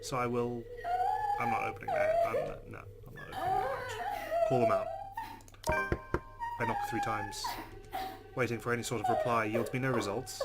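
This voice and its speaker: A man playing a game, reading its text aloud dramatically and reacting in a jumpy, expressive way. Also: medium pitch. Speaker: let's player. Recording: loud game sound behind voice. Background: game audio.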